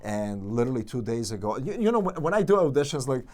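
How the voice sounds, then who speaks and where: Man with a Serbian accent, animated. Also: medium pitch, quick pace. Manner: animated storytelling, many fillers and restarts. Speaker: actor, interview guest. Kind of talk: casual interview chat.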